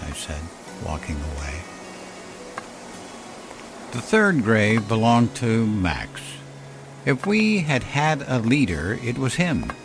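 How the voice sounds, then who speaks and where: A man narrating a scripted first-person story in a measured, solemn, reflective way, as on a fiction podcast. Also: low-pitched. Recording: music behind the voice. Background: clear music bed.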